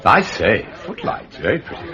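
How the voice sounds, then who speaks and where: An actor playing a mild, urbane gentleman detective with an upper-class English accent in a radio drama. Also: fairly low pitch, wildly swooping pitch, moderate pace, full character voice. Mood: light, amused. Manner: light, polite, musing. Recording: dull, lo-fi radio recording.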